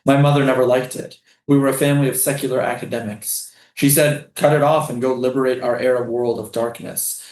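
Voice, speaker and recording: A man's voice: medium pitch, fairly even intonation, brisk, hardly any pauses, darkish tone, lightly acted. Echoey weary male prisoner read by an actor. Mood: reflective. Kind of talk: staged play reading. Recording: roomy, echoing stage sound.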